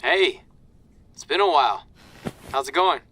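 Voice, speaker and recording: Cheerful goofy wrestler-turned-host in a video game, higher voice, really bouncy delivery. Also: male voice, measured pace, a little hiss or hum. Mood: warm, pleased. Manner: excitable, playful. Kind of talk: video game cutscene acting.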